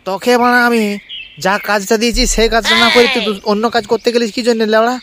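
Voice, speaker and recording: Bossy, cursing village headman played by a man, very high cartoon voice, rural West Bengal accent, noisy recording. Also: level tone, fast talker. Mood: furious. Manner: berating, crude, bossy. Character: irate, foul-mouthed male village council chief. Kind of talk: comic cartoon voice acting.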